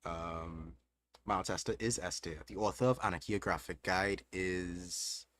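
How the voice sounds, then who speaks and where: Brief: young Trinidadian man, very low voice, relaxed livestream host answering chat, loose and unscripted. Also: unhurried, slight background noise. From Trinidad and Tobago. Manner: relaxed, thinking aloud with fillers.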